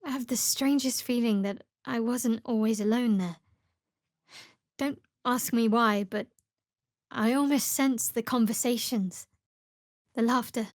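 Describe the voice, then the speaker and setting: A woman playing a guarded, sardonic young cleric of a dark goddess in a video game, speaking in a dry, wry manner. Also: fairly high pitch, measured pace, long pauses, dry recording, close to an everyday voice. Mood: wistful, guarded. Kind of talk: video game character voice lines. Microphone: close studio microphone.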